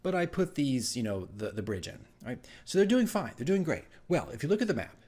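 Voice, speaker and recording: A man's voice: on the high side, fairly quick. Man casually recounting his tabletop game. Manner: animated, rambling asides with acted-out exclamations. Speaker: dungeon master recapping his tabletop game. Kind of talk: casual storytelling vlog.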